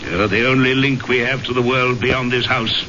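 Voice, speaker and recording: Man playing a sharp, brooding aristocrat. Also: mid-range voice, steady tone, moderate pace, close to an everyday voice. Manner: formal, theatrical, bitterly dramatic. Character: brooding, suspicious aristocratic husband and trophy hunter. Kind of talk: old-time radio drama acting. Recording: dull old radio recording, faint noise.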